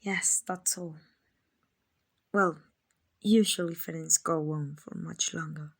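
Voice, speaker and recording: A woman playing a kind, doting vampire owner in an ASMR roleplay, soft and often whispering, gently coaxing and reassuring. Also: fairly low pitch, fairly even intonation, slow, gravelly, breathy edge, exaggerated voice. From Mexico. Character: kind, doting, genteel female vampire owner, soothing and possessive.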